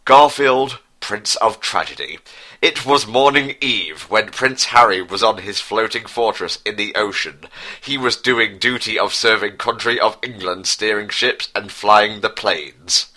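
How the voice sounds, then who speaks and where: Bright, forceful man narrating pompously. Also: fairly low pitch, a little slow. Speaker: narrator, co-host. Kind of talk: mock-dramatic story reading.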